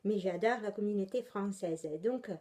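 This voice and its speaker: A woman's voice: medium pitch, normal speed, dark tone. A young woman with an Italian accent, hosting a knitting podcast in casual, rambling chat full of hesitations. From Italy. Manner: rambling, chatty, many hesitations and self-corrections.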